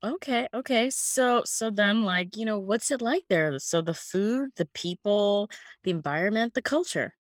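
A woman hosting an interview podcast, speaking with a slightly husky voice. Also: medium pitch, lively intonation, unhurried, dry recording, studio quality. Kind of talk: scripted podcast intro and conversational interviewing.

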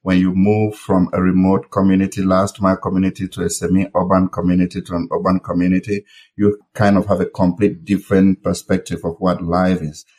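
A man's voice: low voice, monotone, brisk, a little mellow. A man in his forties from Cameroon, a nurse and public health expert, telling his story earnestly as a podcast guest. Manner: earnest, storytelling with fillers and restarts. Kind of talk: conversational interview.

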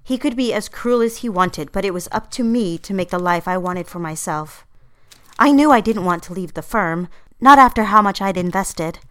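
A woman's voice: medium pitch, normal speed, clean tone, lightly acted. An actress voicing an earnest, ambitious young female secretary with wounded feelings in an audio drama. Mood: resolute, dreamy. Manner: expressive, earnest. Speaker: actress narrating and playing lead. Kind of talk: dramatized first-person narration and dialogue.

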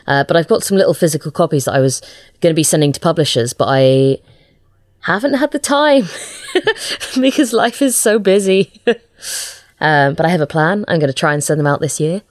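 A woman with a low-pitched voice, recorded very dry. She talks casually as an interview guest. Her manner is informal and a little self-deprecating. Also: lively intonation, moderate pace, no room sound. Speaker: writer and illustrator, interview guest.

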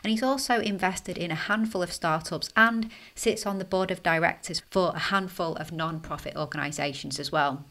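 A woman's voice: fairly low pitch, moderate pace, hardly any pauses, a bit bright. A woman with a British accent, born in Canada and raised in the UK, hosting a podcast in an upbeat, chatty way with frequent tag questions. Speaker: podcast host. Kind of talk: scripted intro then interview chat.

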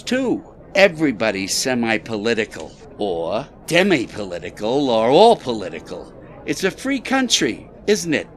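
An actor playing a proud, defensive club boss in a 1920s audio drama, streetwise and combative, sliding between bluster and false politeness. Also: male voice, medium pitch. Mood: indignant. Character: defensive tough male Chicago athletic club boss, slippery and proud. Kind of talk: audio drama period dialogue.

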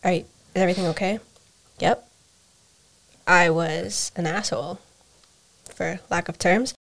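A woman's voice: lowish voice, fairly even intonation, a little slow, vocal fry, a bit bright. A young adult woman with an American accent, speaking candidly and introspectively in a reflective conversation, often repeating phrases as she searches for words. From United States. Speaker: interview guest, adoptee.

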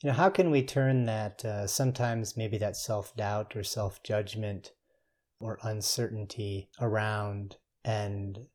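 A man with a moderately low voice hosts a conversational interview. He thinks aloud in a tentative, musing way, building his questions piece by piece. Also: a little mellow. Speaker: interviewer, host.

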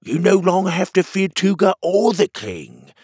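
A man's voice: high voice, very animated, normal speed, mellow, slightly rough, no echo, clean studio sound, pitched above the performer's own voice, full character voice. A man voicing a bold, plainspoken platypus hero in a children's storybook. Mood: easygoing.

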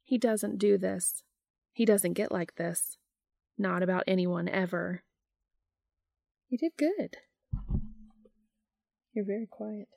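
Slow-paced woman, dry close recording. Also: mid-range voice, gravelly, a little mellow, no echo. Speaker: podcast host reading fan fiction aloud. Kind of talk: casual home chat and read-aloud narration.

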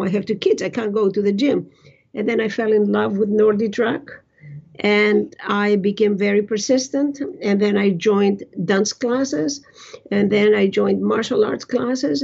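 A woman in her sixties with a Greek accent answers interview questions with blunt self-assurance. Her voice is husky and creaky, at an average pitch for a woman. She tells personal stories emphatically, and the audio is somewhat dull and lo-fi. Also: a little mellow. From Greece. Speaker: interview guest, physician and author. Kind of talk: conversational personal storytelling.

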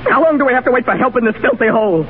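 An actor in 1930s radio drama playing a desperate besieged soldier with a frantic, whining manner. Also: male voice, very high voice, expressive, rapid-fire. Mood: panicked, desperate. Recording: tinny, lightly noisy 1930s radio broadcast.

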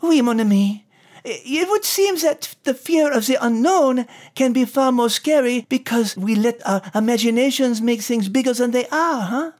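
Woman as French female character, French accent, very high voice, thoughtful, children's story show. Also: animated, moderate pace, a little mellow. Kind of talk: scripted in-character commentary.

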